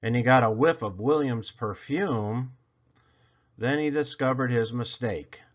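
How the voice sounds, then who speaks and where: A man's voice: mid-range voice, unhurried, muffled recording. A man reading a children's story aloud expressively, leaning dramatically on key words. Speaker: storybook reader.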